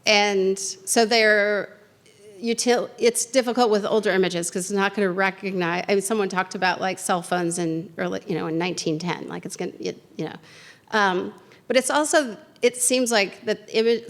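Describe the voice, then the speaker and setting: A woman's voice: medium pitch, normal speed. Woman answering questions at a conference. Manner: thinking aloud, many fillers and restarts. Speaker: conference speaker. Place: lecture hall.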